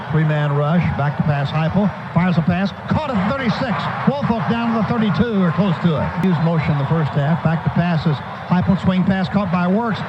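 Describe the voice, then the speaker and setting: A high-pitched American man calls football play by play for radio. He narrates each move in clipped, present-tense bursts. The sound is muffled, with clear stadium noise behind him. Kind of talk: live football play-by-play commentary. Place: stadium broadcast booth. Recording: muffled, noisy old radio broadcast.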